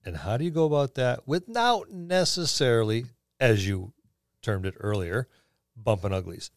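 A man's voice: medium pitch, lively intonation, normal speed, dry recording, clean studio sound. A man co-hosting a podcast, chatting casually about tabletop roleplaying with wry quips. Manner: wry, quipping. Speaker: podcast co-host.

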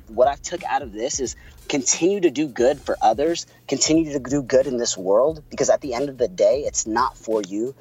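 Puerto rican guy cohosting a podcast, kinda husky, laid back and chatty, light music in the back. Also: mid-range voice, low-quality recording. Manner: relaxed, chatty, says "man" a lot. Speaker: podcast co-host. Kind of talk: casual podcast storytelling.

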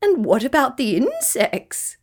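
A teasing storybook kookaburra, performed by a woman, full of chortles. His voice swoops high and stretches words out slowly. He sounds like an amused know-it-all talking to someone smaller. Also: wildly swooping pitch, full character voice. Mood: amused, explaining. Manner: chortling, laughing through the words. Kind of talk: children's storybook character voice.

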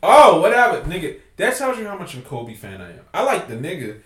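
Profane, loud-joking podcast host man. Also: sits high, wildly swooping pitch, quick pace, roomy sound. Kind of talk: casual podcast banter.

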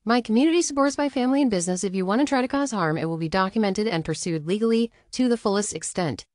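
A woman's voice: medium pitch, fast, a little mellow, dry recording, natural voice. Woman reading as a firm, unbothered woman, close and dry. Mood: dismissive. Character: firm, unbothered, curt woman rebutting accusations by text. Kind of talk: dramatic reading of text messages.

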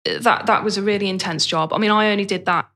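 A woman's voice: medium pitch, fairly even intonation, quick pace, bright, forceful tone. A British woman telling her own career story as an interview guest in a candid, colloquial and self-deprecating way. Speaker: interview guest, business owner. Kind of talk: conversational personal career story.

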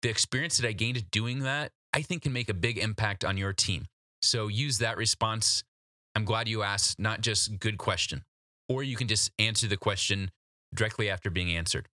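A man's voice: on the low side, normal speed, pushed and bright, dry recording, studio quality. A man hosting an instructional solo podcast, coaching the listener in a direct, practical, conversational way. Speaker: podcast host giving job interview advice.

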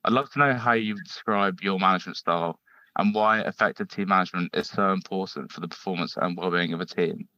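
A young man, a student, asking interview questions in a brisk, direct way. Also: low-pitched, sharp tone, dry recording. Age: young adult. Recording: dry, lo-fi sound.

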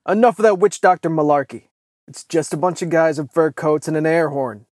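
A man voicing a big, scornful frontier rifleman in an audiobook, gruff and bellowing. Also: a little high, fairly quick, darkish tone, no room sound, clean studio sound, pitched above the performer's own voice, lightly acted. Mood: angry scorn.

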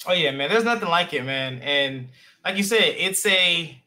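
Bright-voiced upbeat male podcast host. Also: fairly high pitch, lively intonation, moderate pace. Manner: upbeat, friendly, says man and brother a lot. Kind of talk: casual interview hosting.

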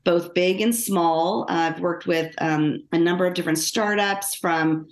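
Middle-aged American woman, low voice, roomy echo. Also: steady tone, unhurried. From Los Angeles, United States. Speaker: podcast guest, lawyer and author. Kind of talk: conversational personal storytelling in an interview. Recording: roomy, echoing sound.

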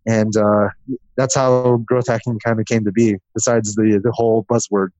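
A man's voice: lowish voice, little pitch movement, moderate pace, darkish tone, dull audio. A man speaking as a podcast guest in a casual interview, explaining things in a rambling way with lists. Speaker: podcast guest, growth marketer.

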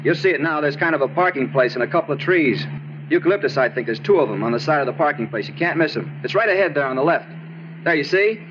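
An actor playing a moody American murder suspect, insistent and naively self-justifying, in a 1950s radio drama style. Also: male voice, fairly high pitch, lively intonation, fast, lightly acted. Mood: eager, dazed. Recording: muffled noisy old radio recording.

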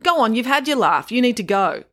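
A nice middle-aged lady bank manager from a storybook, as a woman narrator puts her on. She protests in an exasperated, flustered way, with a raised and very mobile pitch. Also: very animated, a little fast, a bit bright. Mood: indignant.

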